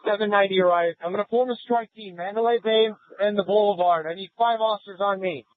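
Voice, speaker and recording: A man's high voice comes through a tinny, dry police radio channel. He is a police officer passing urgent traffic from the field. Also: steady tone, fast talker, no echo. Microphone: two-way radio. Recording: tinny narrow-band police radio transmission.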